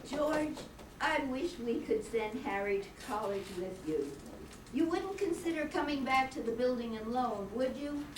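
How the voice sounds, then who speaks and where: An older woman playing a tired, gentle, principled father in an amateur radio play, measured and earnest. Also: high voice, expressive. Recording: echoey, distant room, faint noise.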